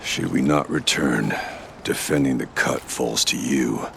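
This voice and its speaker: A stern weary chieftain in a game, super deep and gravelly, talks slow and solemn. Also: male voice, sharp tone, a little hiss or hum, heavily acted. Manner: solemn, measured, formal speech. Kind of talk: video game dramatic acting.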